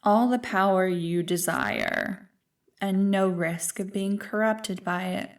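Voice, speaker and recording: A woman's voice: medium pitch, sing-song, takes it slowly, recorded from a distance. A woman playing an ancient, manipulative evil creature in an ASMR roleplay, teasing and condescending as she savors her words. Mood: conspiratorial, tempting. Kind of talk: ASMR roleplay, scripted monologue to the listener. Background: music.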